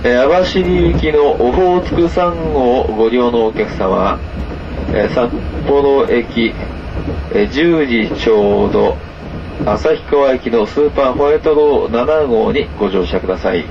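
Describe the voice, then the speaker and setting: A man making a formal train announcement with many hesitant filler pauses, heard through a loudspeaker on a moving train. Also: lively intonation. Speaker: train conductor. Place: inside a moving sleeper train. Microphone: camera recording the train's PA speaker. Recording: muffled PA speaker over rumbling train noise. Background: train running noise.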